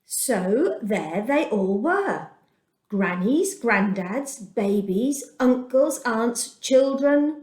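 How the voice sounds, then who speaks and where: Brief: woman storyteller, higher voice, expressive children's Bible reading, roomy echo.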